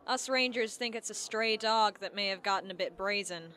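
A skeptical woman park ranger voiced by an actress in an audio drama. Her mid-pitched voice is bright and forceful. She is plain-spoken and dry, with folksy turns of phrase. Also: normal speed, natural voice. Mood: dry, guarded.

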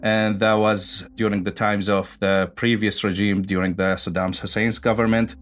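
A man in his thirties recounts a personal story in a fairly low voice. He is an Arabic speaker and pauses often with "uh" as he finds his words. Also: level tone, a bit bright. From Iraq. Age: 30s. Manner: hesitant, with frequent "uh" pauses. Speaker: interviewee.

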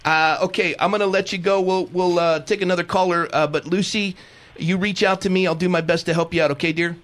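A middle-aged man hosting a talk radio show, talking fervently and pastorally, often repeating himself in quick bursts. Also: high voice, fairly even intonation, fairly bright tone.

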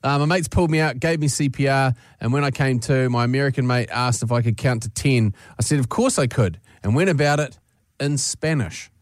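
A man's voice: medium pitch, brisk, no echo. Aussie male co-host, cheeky and blunt, dry close sound. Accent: Australian. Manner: wisecracking, blunt. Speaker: radio host. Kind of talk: casual radio chat.

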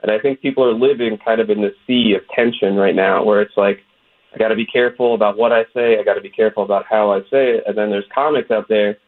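A man's voice: lowish voice, fairly even intonation, fast. Man talking on a tinny phone line. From West Virginia, USA. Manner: earnest, recounting with quoted back-and-forth. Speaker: guest, comedian. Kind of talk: phone-in interview.

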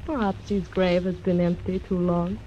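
A woman's voice: on the low side, fairly even intonation, moderate pace, warm tone, highs cut off, slight background noise, lightly acted. Actress as a mysterious Mexican woman, Spanish accent, fatalistic and teasing, old-time radio. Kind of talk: 1940s radio acting.

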